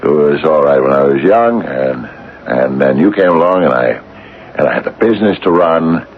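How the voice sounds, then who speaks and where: An actor playing an anxious, hesitant retired businessman of nearly sixty in a radio drama, with a deep, gravelly voice. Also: expressive. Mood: anxious, pleading. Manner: hesitant, halting, stammering. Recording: dull, noisy vintage radio recording.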